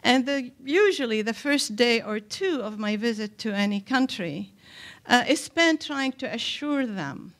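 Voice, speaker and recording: A woman's voice: fairly high pitch, lively intonation, a little slow, fairly bright tone. Older woman giving an emphatic public lecture. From Lebanon. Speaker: panelist, scholar and activist. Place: indoor hall, speaking from a podium. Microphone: microphone on a stand.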